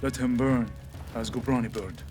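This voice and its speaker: An actor playing a cold, defiant, vengeful militant major in a video game. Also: male voice, mid-range voice, moderate pace, lightly acted. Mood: cold menace. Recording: loud music under the voice.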